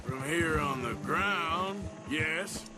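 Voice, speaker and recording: An actor playing a gruff, wary outlaw cowboy with an American accent and a terse, wry manner in a game cutscene. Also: male voice, fairly high pitch, very slow. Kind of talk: video game cutscene acting. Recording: loud music and vehicle noise behind.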